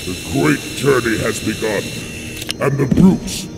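A man's voice: medium pitch, a little slow, vocal fry, heavy background noise. A man voicing a brutal, arrogant alien ape warlord in a video game, snarling and domineering. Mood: furious. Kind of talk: video game cutscene acting. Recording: loud music over the voice.

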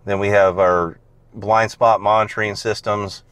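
A man's voice: on the low side, level tone, measured pace, fairly bright tone, clear, resonant tone, no echo. A man, a car salesman, casually walking through a car's features on a dealer lot and explaining them step by step with plenty of fillers.

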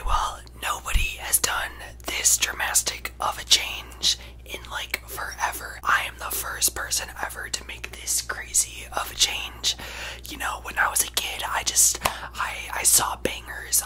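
A young man voicing a brash, self-absorbed young female pop star in a breathy, gushing ASMR whisper close to the microphone. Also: a little slow, sharp tone, rough recording. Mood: boastful, gushing. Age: young adult. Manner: gushing, exaggerated, boastful, rambling. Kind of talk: ASMR roleplay, celebrity parody.

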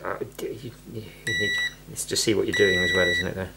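A man chats casually in brief, questioning remarks. He speaks quickly with a gravelly, creaky edge. The recording has loud noise, clicks and beeps around him. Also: sits low, fairly even intonation. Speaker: helper and co-presenter. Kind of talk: casual chat. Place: indoor room with wooden floor.

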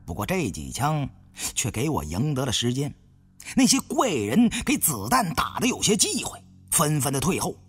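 Highly animated male audiobook storyteller. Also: moderate pace, husky. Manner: expressive, suspenseful delivery. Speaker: audiobook narrator. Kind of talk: dramatic scripted storytelling of an adventure thriller. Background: background music.

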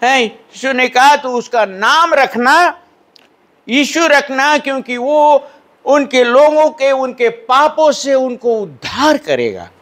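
An older preacher from Fiji with a Fiji Hindi accent and a very high voice. He speaks slowly into a handheld mic at the pulpit of a small church hall. He keeps checking that his listeners agree. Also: animated, a bit bright. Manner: asks hearers for assent, reads English lines slowly; lifts an arm to gesture while preaching. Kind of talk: sermon.

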